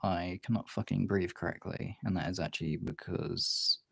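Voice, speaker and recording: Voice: deep voice, steady tone, normal speed, gravelly, slightly rough, dry recording. A livestreaming voice actor chatting casually and in a rambling way with viewers.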